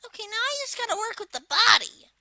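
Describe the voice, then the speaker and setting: A performer voicing a small turtle-like video game villain kid, giving a casual step-by-step software tutorial in a halting, hesitant way. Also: extremely high pitch, expressive, creaky, dry recording, studio quality, heavily acted. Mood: hesitant, matter-of-fact. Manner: halting, hesitant, trailing off in asides. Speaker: tutorial presenter.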